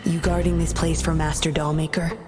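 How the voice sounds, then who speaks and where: A cool, guarded woman agent played by an actress in a video game, pitched low. She speaks in clipped, controlled phrases with quiet menace and authority. Music plays clearly behind her. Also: flat delivery, brisk, lightly acted. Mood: firm, businesslike. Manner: terse, controlled, threatening. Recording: music under the voice.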